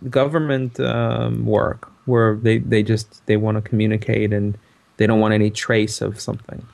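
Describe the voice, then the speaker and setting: A man co-hosting a podcast in casual chat, halting as he thinks aloud. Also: on the low side, level tone, gravelly, a little mellow. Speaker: podcast co-host.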